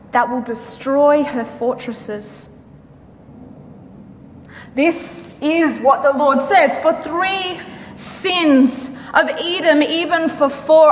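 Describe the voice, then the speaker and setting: A woman's voice: high voice, fairly bright tone. A woman giving a solemn, declamatory dramatic reading of scripture. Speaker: reader in a church dramatic reading. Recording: live hall recording with long reverb.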